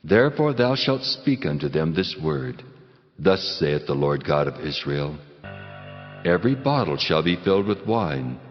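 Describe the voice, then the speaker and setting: An actor playing a stern, majestic God, speaking in solemn, commanding declamation in a dramatized Bible reading. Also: male voice, a bass voice, very animated, measured pace, faint music behind, exaggerated voice. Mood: stern judgement. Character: God of Israel, stern, majestic, wrathful male deity. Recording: long hall reverb, dull top end.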